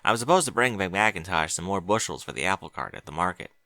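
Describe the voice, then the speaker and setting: A man's voice: deep voice, expressive, quick pace, bright, forceful tone, no room sound, voice pushed down, exaggerated voice. A man voicing an earnest young farm pony mare in a fan fiction audiobook, talking in a plain, folksy way. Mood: friendly.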